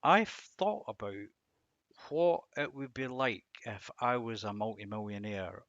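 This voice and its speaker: A man's voice: on the low side, animated, a little slow, a bit bright, no room sound, muffled recording. A middle-aged man giving a reflective devotional talk on scripture, asking rhetorical questions and repeating words for emphasis. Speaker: Bible teacher and host.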